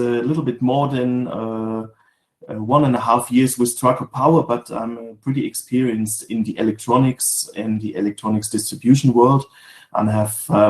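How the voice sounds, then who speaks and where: A man with a German accent gives a webinar talk from his home office on a video call, in halting English with many fillers and restarts. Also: mid-range voice, a little mellow, reverberant. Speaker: guest speaker, sales manager. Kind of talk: webinar presentation.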